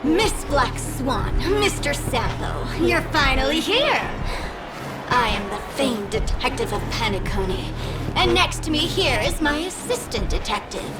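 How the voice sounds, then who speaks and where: A playful girl detective in a video game. Her voice is extremely high and leaps around with theatrical mock tragedy, declaiming every detail like grand drama. Loud music plays behind her. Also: big pitch swings, measured pace. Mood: dramatic recounting. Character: playful, melodramatic girl detective narrating a murder mystery.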